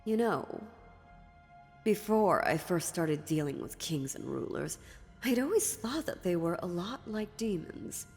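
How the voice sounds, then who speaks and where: A woman playing an ancient, sly and dominant female demon in a dark fantasy audio roleplay, taunting theatrically with deliberate pauses. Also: fairly low pitch, very animated. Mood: musing, scornful. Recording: long reverb, music underneath.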